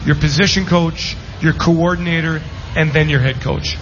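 A man's voice: on the high side, fairly even intonation, moderate pace. A middle-aged man giving opinionated sports commentary in casual, short phrases. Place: live radio broadcast at a casino. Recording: dull lo-fi live pickup, faint music.

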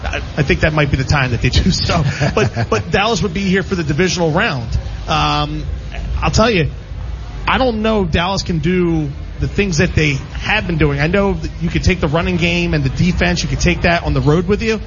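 A man's voice: fairly high pitch, normal speed. A man hosting a casual sports panel show, talking in a rambling, opinionated way. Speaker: sports radio show host. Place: live broadcast from a casino. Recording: muffled, clearly noisy live venue recording.

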